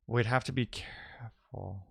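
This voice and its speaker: A man's voice: lowish voice, measured pace, gravelly, fairly bright tone, slightly rough, no echo, clean studio sound. A man talking casually through technical ideas as a live-coding streamer, musing aloud and posing questions to himself.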